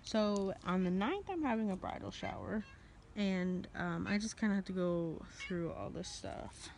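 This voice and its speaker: A woman's voice: mid-range voice, a little slow, gravelly, a little mellow, a little hiss or hum. A young woman chatting casually and rambling in an informal vlog, recorded on a handheld phone. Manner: informal, rambling, talks to a pet. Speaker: vlogger.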